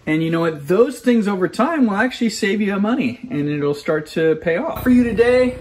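A man's voice: high voice, animated, moderate pace, a little mellow, a little hiss or hum. A man in his forties hosting a casual how-to vlog in his home kitchen, filmed on a phone held at arm's length, chatty and explanatory, often checking in with "okay?". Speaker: channel host. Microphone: phone camera held at arm's length.

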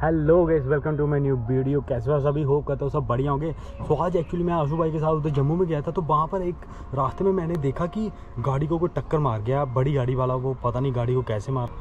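A young man with a fairly high voice talks chattily to his viewers and keeps repeating his phrases. His voice comes through a dull, muffled helmet-camera recording. Clear street noise sits behind him. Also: steady tone, fairly quick. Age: young adult. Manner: chatty, repeats himself, addresses viewers as guys. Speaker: motovlogger. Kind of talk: casual vlog chat. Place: outdoors on city streets riding a motorbike, and at a house doorway. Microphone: helmet-mounted action camera.

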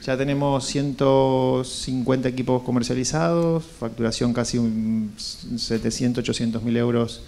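A middle-aged Argentine man speaks as a founder presenting at a conference. His voice is mid-pitched with a strong gravelly creak, and he feels his way through phrases, repeating words. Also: steady tone, moderate pace, hardly any pauses, a little mellow. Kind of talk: conference pitch and answers to questions. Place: indoor conference room with small seated audience. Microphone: handheld microphone.

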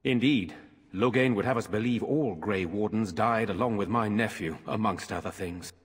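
An actor playing a grave, courteous noble lord in a fantasy game, speaking formally and earnestly in a reverberant stone hall. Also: male voice, fairly low pitch, normal speed, slightly rough. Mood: earnest, wry. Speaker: game character. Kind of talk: dramatic fantasy game acting.

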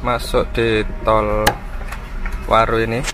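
A man's voice: on the low side, monotone, slow pace, noisy background. A man chattily narrating a road trip from inside his car, guiding viewers along the route. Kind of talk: casual narration while driving.

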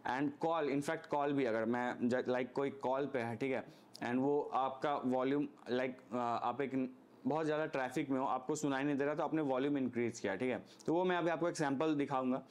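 A man's voice: mid-range voice, moderate pace. A man with an Indian accent giving a casual, unscripted product review, explaining point by point and often checking in with the listener. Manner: explanatory, frequently checks in with "okay". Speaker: motorcycle gear reviewer.

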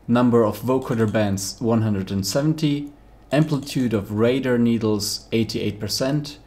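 A man's voice: medium pitch, darkish tone. A man giving concise, matter-of-fact scripted instructions as a tutorial presenter in a voice-over. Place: voice-over for screen recording.

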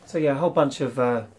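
A young man hosting a casual retro collection vlog, rambling with ums and clearing his throat, his voice slightly worn from losing it. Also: a little high, darkish tone. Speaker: retro game collector vlogger. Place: small room full of toy shelves, indoors.

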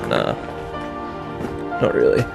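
A man's voice: takes it slowly, loud music behind the voice. A young man filming himself outdoors while exploring an abandoned building, chatting casually and wondering aloud with plenty of fillers. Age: young adult. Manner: curious, rambling with fillers. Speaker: vlogger. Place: outdoors in overgrown abandoned site.

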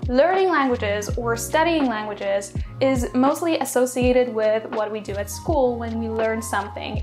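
Upbeat woman with a higher voice. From Poland. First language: Polish. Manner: upbeat, quick and explanatory. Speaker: language teacher and YouTuber. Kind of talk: direct-to-camera video lesson. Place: small bedroom, indoors.

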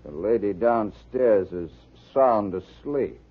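A suspicious, laconic man of slang talks slowly and gruffly. His rough-edged voice is boxed in by a scratchy, narrow 1940s radio recording. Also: fairly low pitch, animated, faint music behind, heavily acted. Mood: grudging, laconic. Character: gruff, suspicious, slangy male flat tenant. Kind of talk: 1940s radio drama acting.

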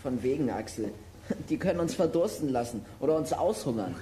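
A performer playing an articulate, excitable and slightly anxious boy detective in a children's audio drama. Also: mid-range voice, brisk, a little hiss or hum, natural voice. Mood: tense.

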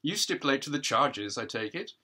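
A man voicing a fussy, patronising judge in a stage play, brisk and condescending with false geniality. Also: mid-range voice, big pitch swings, sharp tone, husky, pitched above the performer's own voice, heavily acted. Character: fussy, patronising male judge at party hearing.

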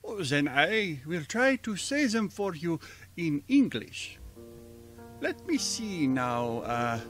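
Casting: male actor as gentle, earnest German professor, lighter-pitched, halting delivery, radio drama. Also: sing-song, faint music behind, heavily acted. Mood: shy, hesitant. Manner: hesitant, halting. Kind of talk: old-fashioned radio drama acting.

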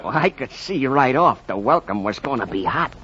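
An actor playing a slangy, folksy baseball fan who narrates theatrically in a 1940s radio drama. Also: male voice, medium pitch, lively intonation, normal speed, pushed and bright. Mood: wry, colorful. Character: slangy, colorful storyteller, wisecracking baseball fan. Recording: dull, faintly noisy 1940s radio recording.

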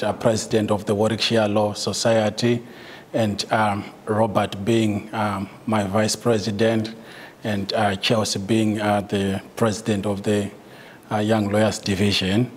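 A man's voice: on the low side, flat delivery, a little slow, in a large hall, a little hiss or hum. A middle-aged man from Zimbabwe with a Zimbabwean accent, giving a talk to staff with many ums and uhs and some light laughter. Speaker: guest speaker, solicitor. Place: meeting room, indoors.

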